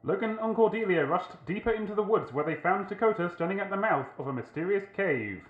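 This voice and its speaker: An actor playing the theatrical, suspenseful narrator of an old-time radio adventure serial. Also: male voice, sits high, lively intonation, quick pace. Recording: tinny, echoing old-radio sound.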